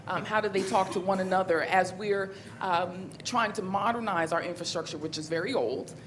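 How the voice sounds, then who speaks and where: A woman speaking as a city government official on a conference panel, in a measured, businesslike way with frequent ums. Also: mid-range voice, a little hiss or hum.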